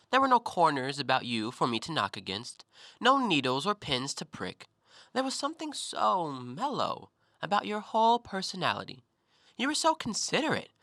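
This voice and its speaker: An actor in an audio play, playing a talkative, self-assured intellectual who probes and needles with articulate, deliberate theatrical phrasing. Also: male voice, on the high side, pushed and bright, no room sound, studio quality, heavily acted. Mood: musing, teasing. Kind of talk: stage-style audio play monologue.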